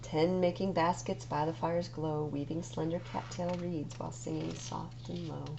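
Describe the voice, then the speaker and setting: A woman with a lowish, slightly creaky voice reads a children's story aloud. Her delivery is expressive and deliberate, as at a story time. There is clear noise and hum behind her. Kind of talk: read-aloud picture book narration.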